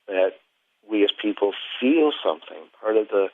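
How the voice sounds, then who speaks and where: Deep male voice, narrow telephone audio, reflective, halting phrasing, interview guest. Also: lively intonation. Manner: halting, thoughtful phrasing in short pieces. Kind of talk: reflective conversational explanation. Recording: thin, narrow telephone line.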